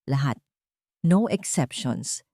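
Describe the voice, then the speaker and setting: A woman's voice: extremely low pitch, expressive, mellow, no echo, pitched below the performer's own voice, exaggerated voice. Woman acting a cold, commanding executive, close and dry. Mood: curt command. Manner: cold, stern, measured.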